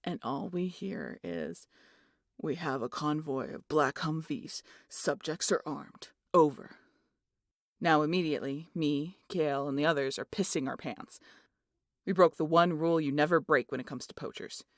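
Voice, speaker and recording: A woman acts out a big, brash aunt in an audio drama. Her voice sits on the low side in a dry, close sound. She teases and spins tales with foul-mouthed swagger. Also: normal speed, dry recording. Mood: hushed, suspenseful. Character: brash, teasing, tall muscular aunt, adult woman. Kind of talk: audio roleplay drama acting.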